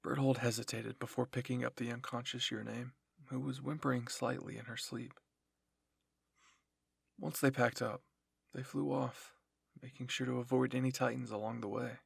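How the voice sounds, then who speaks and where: A man reads a dramatic fan-fiction story as narrator. His medium-pitched voice is gravelly and husky, recorded very dry. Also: little pitch movement, moderate pace, dry recording.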